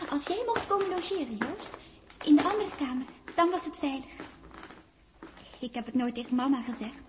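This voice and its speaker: An actress playing a gentle, eerie young woman in a 1960s Dutch radio play, hushed and dreamy, often slipping into a whisper. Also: sits high, background noise, lightly acted. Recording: 1961 radio recording, music behind.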